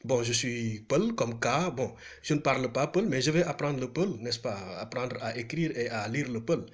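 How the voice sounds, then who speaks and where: A man whose first language is Wolof, hosting his own channel and speaking off the cuff to camera, earnest and halting with frequent restarts and fillers. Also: mid-range voice. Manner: hesitant, many restarts and fillers; earnest, grows more urgent when appealing to listeners. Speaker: channel host. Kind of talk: unscripted talk to camera.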